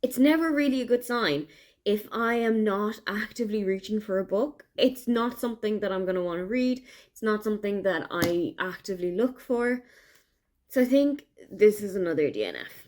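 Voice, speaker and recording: A woman's voice: mid-range voice, animated, moderate pace, darkish tone. A young Irish woman with an Irish accent, chatting casually and enthusiastically in a book vlog diary. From Ireland. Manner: chatty, enthusiastic, thinking aloud. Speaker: book vlogger.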